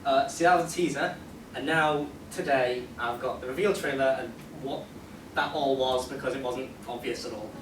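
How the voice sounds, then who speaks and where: A man presenting casually at a convention panel, rambling in an excitable, self-deprecating way. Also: mid-range voice, moderate pace. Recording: echoey, noisy hall, distant microphone.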